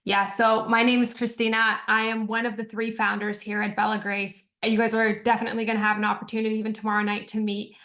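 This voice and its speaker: A woman from Croatia, a company co-founder, giving an earnest, energetic business pitch on a video call. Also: quick pace, hardly any pauses.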